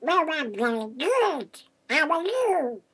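Little worrier for children's comedy: squeaky-high, very unhurried, hesitant, childlike. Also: female voice, big pitch swings, a bit bright, full character voice. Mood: hesitant, cautious. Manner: hesitant, slow, plaintive.

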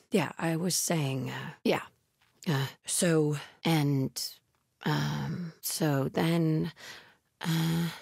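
A woman playing a fierce, devout cult warrior in a video game, speaking in terse combat and stealth barks with a husky voice. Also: low voice, darkish tone, no echo, clean studio sound, full character voice. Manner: terse, clipped phrases.

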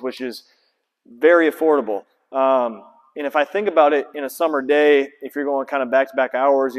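Thirties male trainer, relaxed explainer on a lapel mic, mid pitch, reverberant empty gym. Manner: casual, filler-heavy, explaining and pointing things out. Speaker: basketball trainer. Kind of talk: casual walkthrough vlog. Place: large empty indoor basketball gym. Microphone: clip-on lapel mic.

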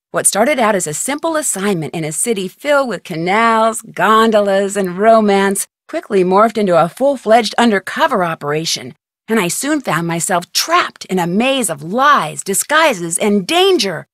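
A woman's voice: mid-range voice, expressive, a bit bright, dry recording, natural voice. A woman voicing a plucky girl detective. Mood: wry. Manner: brisk, self-talking, wry. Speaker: game protagonist. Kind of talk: video game voice acting. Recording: dry studio voice-over.

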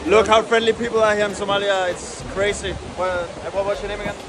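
A man's voice: high-pitched, steady tone, fast talker, noisy background. A man, chatting casually and enthusiastically as a travel vlogger. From Denmark. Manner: enthusiastic, friendly. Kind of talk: casual travel vlog chat. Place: open-air beachside restaurant terrace. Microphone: action camera at arm's length.

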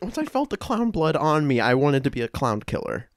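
Male improv podcast performer, twenties; very animated, swooping intonation. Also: on the high side, fairly quick, dry recording, clean studio sound. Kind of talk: improvised comedy role-play. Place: small room at home, video call.

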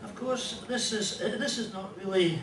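An older man with a Scottish accent telling jokes and a rambling story at a stand mic on a small club stage, in a dry, unhurried way. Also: high-pitched, background noise. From Scotland. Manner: dry, rambling joke delivery with pauses. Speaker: comedian and musician. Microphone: stand mic, filmed from a distant camera. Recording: roomy club sound from far off.

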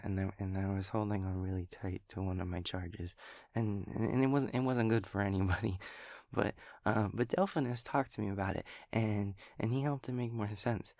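A man's voice: on the low side, dark tone, no echo, studio quality, lightly acted. A young man acting a sweet, scatterbrained, childlike empathy daemon in an intimate audio roleplay, stammering and repeating words.

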